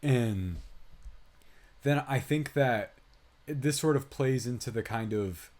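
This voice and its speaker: Male podcast co-host, mid-pitched, conversational and opinionated, loose movie-review chat. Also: takes it slowly, darkish tone.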